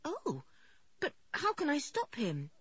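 A very high-pitched, hot-tempered young girl acted by a woman in a storybook reading, urgent and emotional. Her voice swoops wildly, and the recording sounds slightly dull. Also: sing-song, measured pace, dry recording, exaggerated voice. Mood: anxious. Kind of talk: audiobook character voice.

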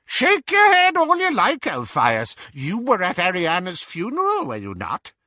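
A man performs an elderly storybook witch with a high, squawky voice. She is a malicious, cackling gossip who talks over everyone, her pitch leaping about as she needles and insinuates. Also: huge pitch swings, unhurried, pushed and bright, no room sound, clean studio sound, full character voice. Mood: gossipy, needling.

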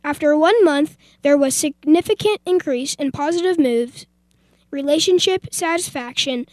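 High-pitched female presenter reading facts. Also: normal speed, a little mellow, no room sound. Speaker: youth radio presenter. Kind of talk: scripted narration of facts.

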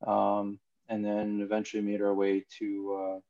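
A man's voice: on the low side, monotone, a little slow, dark tone. Hesitant man in his forties, dull recording. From Ontario, Canada. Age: 40s. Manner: hesitant, many fillers. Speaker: guest, jiu-jitsu student. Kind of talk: casual interview.

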